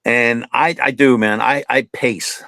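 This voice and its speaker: A man's voice: mid-range voice, fairly even intonation, measured pace, creaky, sharp tone, no room sound. A man in his fifties, a guest on an online show, chatting loosely and telling stories, often calling his host "man". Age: 50s. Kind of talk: casual interview chat.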